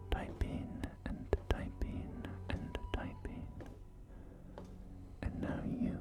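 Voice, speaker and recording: A man playing a polite, salesy post-apocalyptic real estate agent in a whispered ASMR roleplay, with hesitant, soft-spoken patter. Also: lightly acted. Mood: proud, inviting. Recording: close whisper under loud music. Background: loud music.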